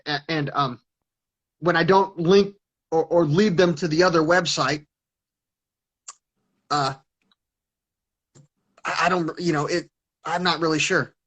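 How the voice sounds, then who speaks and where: A man's voice: on the high side, steady tone, slow, long pauses, fairly bright tone. A man talking casually as an interview guest on a video call, stuttering and restarting his sentences. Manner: stutters, many restarts and repeated words.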